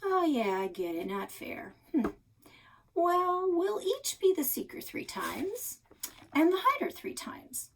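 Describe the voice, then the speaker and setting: A woman's voice: very high voice, very animated, takes it slowly, creaky, voice pushed up, heavily acted. Woman reading as a bossy, whiny young boy. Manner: grumbling, snorting, boastful. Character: smug, whiny indoor-loving young boy, bossy and competitive. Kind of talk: children's book read-aloud character.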